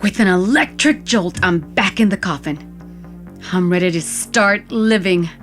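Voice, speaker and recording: Low-voiced woman narrating a story. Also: a little slow, faint music behind. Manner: wistful, vivid storytelling. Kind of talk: scripted narration of audio fiction.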